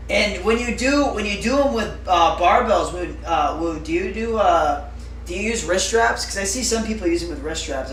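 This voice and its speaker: A young man with a slightly higher voice chats loosely with his live-stream audience, trailing off into fillers. He sits back from the mic in a roomy, echoing space with a faint hiss underneath. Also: a bit bright, distant mic. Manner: chatty, rambling with fillers, answering viewers. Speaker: livestreamer. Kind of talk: casual live-stream chat.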